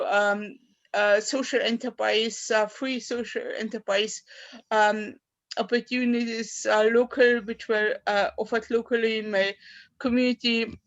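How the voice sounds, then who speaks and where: A woman in her fifties, a native German speaker from Austria, talks haltingly about her life as a poet, with many fillers and restarts. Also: a little high. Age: 50s. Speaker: poet at an open mic. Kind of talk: personal talk and poetry reading. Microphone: video call. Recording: dull lo-fi video call audio.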